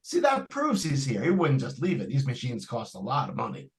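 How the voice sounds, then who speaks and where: A man's voice: medium pitch, huge pitch swings, fairly quick, very noisy. A man playing an eager, talkative property salesman in old-time radio suspense style, with a folksy, persuasive manner. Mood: puzzled, calling out. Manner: folksy, persuasive, colloquial phrasing. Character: eager, talkative salesman trying to unload a haunted house.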